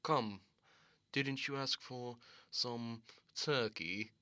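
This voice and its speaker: A man playing a genteel, unhurried prisoner host in improvised radio comedy, grandly polite in manner. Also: medium pitch, sharp tone, no room sound. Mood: suave, welcoming. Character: genteel, leisurely prisoner showing a newcomer around.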